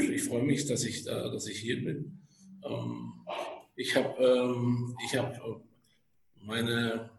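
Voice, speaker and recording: A man of about fifty introducing himself as an interview guest, speaking haltingly and restarting his phrases. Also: fairly low pitch. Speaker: podcast guest, office employee in leasing. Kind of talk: halting spontaneous self-introduction. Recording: roomy, echoing indoor sound.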